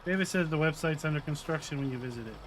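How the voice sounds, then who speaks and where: A man's voice: on the high side, very fast, a bit bright, poor audio. A man chatting casually while playing a truck game, rambling through a story and laughing easily. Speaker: gamer in a convoy. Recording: truck game engine noise behind.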